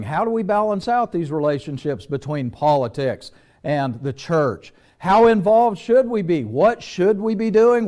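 A man's voice: sits high, a little mellow. Middle-aged man preaching a conversational sermon. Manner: conversational preaching, walks the stage with rhetorical questions. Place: small church sanctuary.

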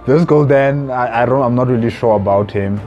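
A man's voice: medium pitch, fairly quick, darkish tone, lo-fi sound, soft background music. A young adult man giving casual commentary as a reaction video host, musing and hesitant, on a lapel mic in a small room. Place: small plain room indoors.

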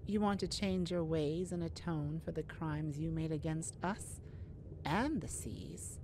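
Woman as a slow, regal mermaid queen. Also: sits low, background noise. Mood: stern, probing. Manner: slow, formal, regal, deliberate. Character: stately, merciful mermaid leader and enchantress. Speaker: audio roleplay performer. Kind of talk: scripted fantasy audio roleplay.

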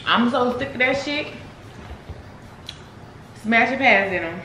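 A woman's voice: a little high, animated, slow pace, pushed and bright, slight background noise. Chatty young woman vlogging, echoey room. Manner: chatty and candid, talks to viewers and her dog. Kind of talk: casual vlog chat. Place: apartment rooms and a parked car.

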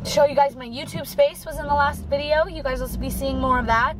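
A woman's voice: sits high, fairly even intonation, a little fast. A young woman in her twenties chatting casually to her camera while driving at night, rambling with lots of fillers. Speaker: vlogger. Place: inside a car at night. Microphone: camera on a dashboard mount. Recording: camera mic with road and car noise.

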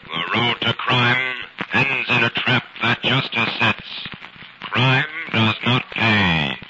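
An ominous American male announcer narrates an old radio crime serial with grand, portentous delivery. His medium-pitched voice comes through a muffled recording thick with noise. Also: lively intonation, slow pace. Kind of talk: 1940s radio drama narration.

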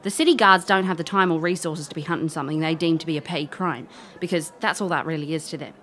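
A woman's voice: sits low, fast talker, fairly bright tone. A woman playing a cocky, wry private investigator in an audio drama, casual and confident. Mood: assured. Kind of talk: audio drama acting and narration.

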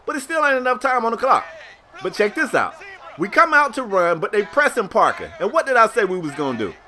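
An American man giving casual, slangy play-by-play commentary on a sports video game in an animated storytelling manner. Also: sits high, fairly quick.

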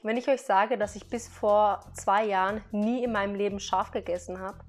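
A woman's voice: mid-range voice, fairly even intonation, normal speed, faint music behind. Chatty woman vlogging. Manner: chatty, laughs between remarks; explains items one by one to viewers. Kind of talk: casual product haul vlog.

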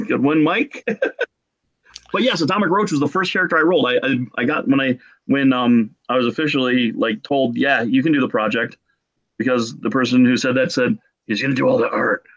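A middle-aged man telling casual stories as a podcast guest, in an excitable, jokey manner. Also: medium pitch, moderate pace. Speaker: podcast guest, game artist. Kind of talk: casual animated storytelling. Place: small room at home, video call. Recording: muffled lo-fi video call.

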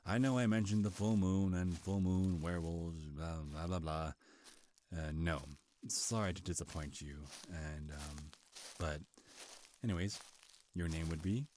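A man playing a charming, sly male vampire in an intimate ASMR roleplay, soft-spoken, flirtatious and hesitant, with deliberate delivery. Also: low voice, fairly even intonation, slight background noise. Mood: sly, probing. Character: charming, sly male vampire, centuries old, courting prey. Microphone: close, dry microphone.

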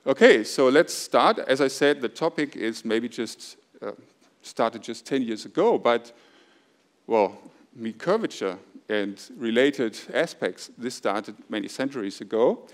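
A middle-aged man delivers a plenary math lecture at a podium microphone. His voice is loud but measured, with frequent small hesitations. The sound carries the openness of a large auditorium. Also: medium pitch. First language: German. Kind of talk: academic lecture. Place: large dark auditorium stage.

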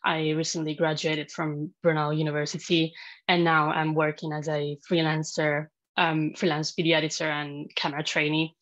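Low-voiced young woman from Italy, dull audio. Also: little pitch movement, moderate pace. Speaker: freelance video editor. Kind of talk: casual self-introduction. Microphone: webcam on a video call.

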